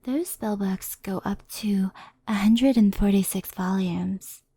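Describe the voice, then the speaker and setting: A woman playing a gentle, wistful fox spirit in an ASMR roleplay, speaking softly and often in a whisper, close to the microphone. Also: medium pitch, breathy edge, no echo. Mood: calm, explaining. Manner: soft-spoken, often whispery, unhurried explaining. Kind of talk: ASMR roleplay, soft storytelling. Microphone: close headphone ASMR microphone.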